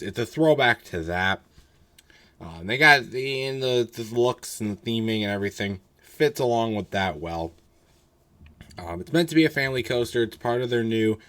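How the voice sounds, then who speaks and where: A young man with a deep, low voice that is hoarse. He talks in a loose, rambling way, full of ums and restarts, and gives blunt, candid opinions in a casual solo review. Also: fairly bright tone. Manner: rambling, filler-heavy, candid. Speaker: roller coaster reviewer.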